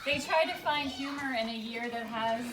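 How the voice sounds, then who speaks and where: A woman reading rhymed, scripted narration to a live audience as the presenter of a puppet show, in a storybook manner with deliberate pauses. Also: high-pitched. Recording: distant mic, echoey room, noisy live recording.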